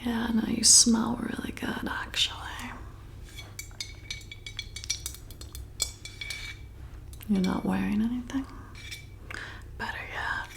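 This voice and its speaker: Woman playing vampire bartender, flirty, hypnotic, breathy whisper, creaky edges, intimate ASMR roleplay, coaxing. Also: fairly high pitch, fairly even intonation. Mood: flirty, probing. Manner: slow, soothing, intimate, coaxing. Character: flirty, seductive female vampire bartender, slyly predatory hypnotist.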